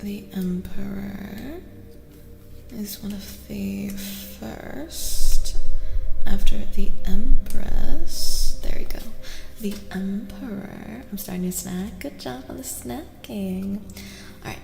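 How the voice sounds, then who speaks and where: A woman live streamer reading tarot in soft-spoken ASMR style, chatting casually and gently, sometimes dropping to a whisper and repeating phrases. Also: mid-range voice, slow, creaky. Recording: reverberant echo with music behind. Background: music.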